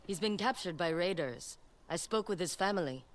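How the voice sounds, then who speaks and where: A woman's voice: lowish voice, animated, normal speed, close to an everyday voice. A woman playing a determined young warrior student in a video game, speaking in a terse, dryly wry, resolute way. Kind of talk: video game character acting. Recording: clear noise of game ambience.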